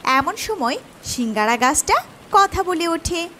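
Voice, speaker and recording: Very high-voiced woman narrating fairy tales. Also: animated, measured pace, sharp tone, a little hiss or hum. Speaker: storyteller narrator. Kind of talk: scripted children's fairy-tale narration.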